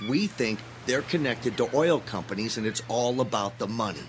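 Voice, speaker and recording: A man's voice: medium pitch, expressive, normal speed, noisy background, natural voice. A man acting in an audio drama as an earnest, idealistic young graduate student with an intense, preachy manner. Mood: earnest, explaining.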